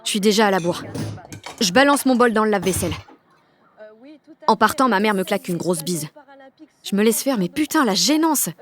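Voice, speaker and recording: A woman's voice: mid-range voice, animated, moderate pace, long pauses, soft background music, close to an everyday voice. Woman playing a sullen, foul-mouthed French teenage girl. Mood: sullen, deadpan. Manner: sardonic, blunt, slangy, swearing often. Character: sullen, foul-mouthed sixteen-year-old French village girl narrating her life. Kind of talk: first-person audio fiction narration in teen slang.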